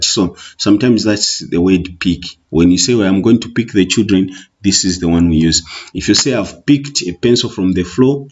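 Zambian male teacher with a Tonga-influenced accent, lowish husky voice over screen recording, repetitive patient delivery. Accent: Zambian, Tonga-influenced English. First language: Bemba. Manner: explains slowly, repeats with many okays. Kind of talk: online exam walkthrough lesson. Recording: muffled lo-fi recording.

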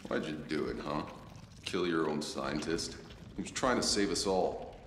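An actor playing a blunt, defiant and sardonic soldier hero in a video game cutscene. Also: male voice, extremely low pitch, moderate pace, a little hiss or hum. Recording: echoey, with music behind. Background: music.

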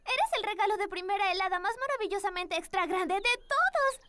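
A woman's voice: very high voice, fairly quick, heavy background noise. A woman voicing a sweet, earnest little girl in a dubbed children's cartoon. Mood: eager. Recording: loud children's music behind the voice.

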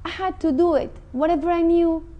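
Earnest high-voiced woman, Mexican Spanish accent. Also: fairly even intonation, fairly quick, lo-fi sound. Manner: earnest, emphatic, speaks with feeling. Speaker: interview guest. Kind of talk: personal testimony. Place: indoor TV studio set. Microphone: lapel mic.

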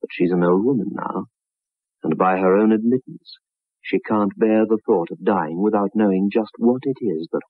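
A male announcer narrates in an ominous, measured 1960s radio style. His voice sits low and has a husky grain. It comes through a dry, narrow old broadcast recording. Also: no room sound. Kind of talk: scripted 1960s radio narration of a ghost-story preview. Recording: muffled narrow-band vintage radio recording.

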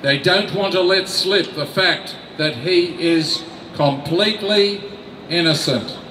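Slow, emphatic older man outdoors. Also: sits high, bright, forceful tone, a little hiss or hum. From Australia. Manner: slow, deliberate, emphatic reading from notes. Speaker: rally speaker. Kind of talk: reading a prepared speech. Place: outdoor city square. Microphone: mic on a stand. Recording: echoey outdoor sound from a distant camera.

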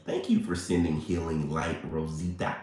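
A man, chatting playfully with viewers on a livestream, a little hoarse and congested. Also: rumbling low, little pitch movement, moderate pace, a little mellow. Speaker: livestream host. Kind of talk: casual livestream chat with viewers. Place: small bright room indoors. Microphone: phone at arm's length. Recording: very echoey room.

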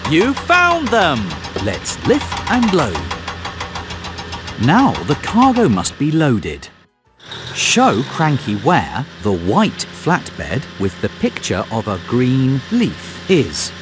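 A man narrating a children's game as a scripted story, giving clear, deliberate instructions to young listeners. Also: medium pitch, huge pitch swings. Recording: music under the voice.

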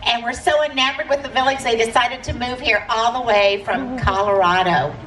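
A woman's voice: fairly high pitch, moderate pace, a bit bright. A woman hosting an outdoor night event on a handheld microphone, upbeat and coaxing as she talks to the crowd and a child. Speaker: event host on stage. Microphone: handheld mic through speakers, filmed from audience. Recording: echoey PA sound from far off, crowd noise.

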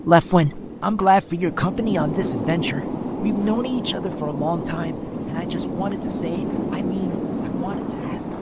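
A man's voice: high-pitched, moderate pace, heavy background noise, voice pushed up, natural voice. A man voicing an earnest, hesitant boy adventurer in an audiobook. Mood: nervous, tender. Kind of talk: audiobook character voice.

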